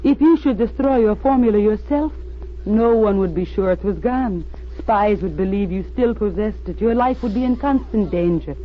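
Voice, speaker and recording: An actress playing a crafty, beautiful spy posing as an earnest peace campaigner, urgent and persuasive in old-time radio style. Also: female voice, medium pitch, moderate pace, natural voice. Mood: urgent persuasion. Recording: tinny, noisy old radio recording.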